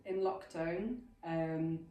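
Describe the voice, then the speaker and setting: A Welsh woman in her thirties talks casually to a village group, chatty and self-deprecating. Her voice is low and mellow. She was recorded from some distance in a very echoey hall. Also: extremely slow. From Wales. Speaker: guest speaker. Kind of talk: informal talk to a local group.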